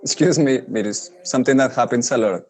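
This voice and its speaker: A man's voice: mid-range voice, a little fast. A man moderating an online English conversation class, prompting students by name and keeping things moving. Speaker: teacher moderating a conversation group.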